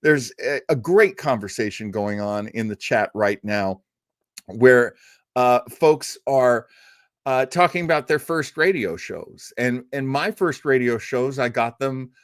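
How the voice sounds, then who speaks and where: A man's voice: mid-range voice, expressive, measured pace, no room sound, studio quality. An older man hosting an old-time radio show in a casual livestream chat, rambling, effusive and self-deprecating.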